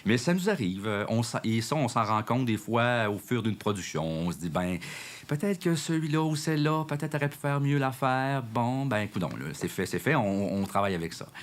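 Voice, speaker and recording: A man's voice: mid-range voice, animated, normal speed. A man, a drama teacher reflecting on casting in an interview, hesitant and full of fillers. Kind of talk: documentary interview.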